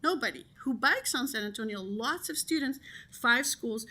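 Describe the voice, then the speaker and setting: A woman's voice: on the high side, expressive, normal speed, pushed and bright. Direct woman. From Belgium. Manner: direct, persuasive, rhetorical questions. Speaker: school committee president. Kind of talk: public comment at council meeting. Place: council chamber.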